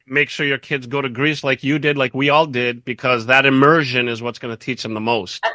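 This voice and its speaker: A man's voice: mid-range voice, flat delivery, bright, forceful tone, dry recording. Laid-back male co-host, dry close sound. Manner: laid-back, chuckles, halting questions. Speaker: podcast co-host. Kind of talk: casual interview chat.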